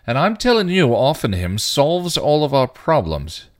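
A man's voice: mid-range voice, very animated, measured pace, voice pushed up. Mentor type, stern and formal, clipped delivery read by a man. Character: stern, proper middle-aged male mentor.

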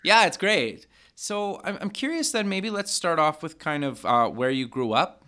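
A man's voice: a little high, lively intonation, moderate pace, bright, forceful tone. A man hosting an interview podcast, chatty and upbeat, with many fillers and restarts. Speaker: podcast host.

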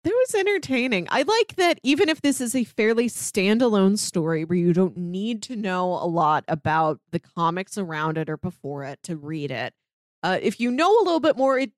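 A woman's voice: mid-range voice, very animated, moderate pace, no room sound, studio quality. A woman co-hosting a podcast in casual discussion, talking in an engaged, playful, conversational way. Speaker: podcast co-host. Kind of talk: casual comic book discussion.

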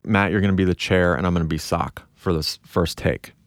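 Deep-voiced man hosting a podcast. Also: steady tone, a little fast, dry recording, clean studio sound. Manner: organizing, chatty. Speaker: podcast host. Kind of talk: casual chat.

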